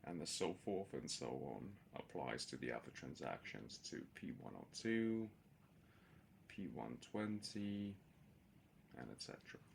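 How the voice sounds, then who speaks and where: A man with a low, gravelly voice walks through a software demonstration as an informal tutorial. He speaks haltingly, pausing often to fill gaps as he explains each step. A faint noise sits behind his voice. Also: big pitch swings. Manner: hesitant, halting explanation with many filled pauses. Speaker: presenter of a software demo. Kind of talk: screen-share tutorial narration, unscripted.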